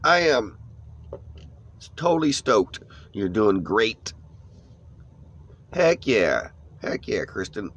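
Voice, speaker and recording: A man's voice: fairly low pitch, sing-song, slow pace, long pauses, fairly bright tone, slightly rough. A laid-back American man with a stuffy nose, chatting casually on a live morning stream from his phone inside an old camper van. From United States.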